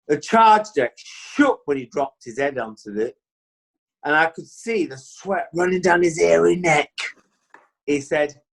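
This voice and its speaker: Very lively, earnest police detective played by an actor. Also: mid-range voice, heavily acted. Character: earnest police detective giving dramatic evidence. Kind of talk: comic courtroom play acting.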